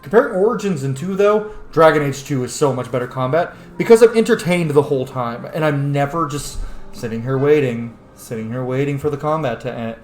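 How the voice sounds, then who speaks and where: A man's voice: medium pitch, lively intonation, brisk, echoey room. A man hosting a gaming video, giving casual, opinionated and emphatic commentary on his gameplay.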